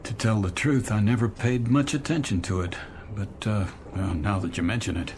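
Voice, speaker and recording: A man's voice: on the low side, moderate pace, a little mellow, a little hiss or hum, close to an everyday voice. A man acting in a radio drama as a weary, bewildered businessman on a train, hesitant and stammering. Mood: weary small talk.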